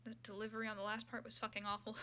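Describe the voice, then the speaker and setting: A woman's voice: medium pitch, monotone, racing, sharp tone, slight background noise. A woman livestreaming fan fiction readings in a casual, sweary, self-mocking way. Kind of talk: casual livestream chat and comic read-aloud.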